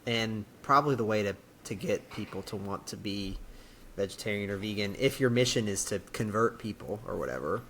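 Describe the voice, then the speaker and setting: A man's voice: lowish voice, expressive, fairly quick, a bit bright, a little hiss or hum, rough recording. A man chatting casually as a podcast co-host, easygoing and a little hesitant as he gets going. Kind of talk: casual chat.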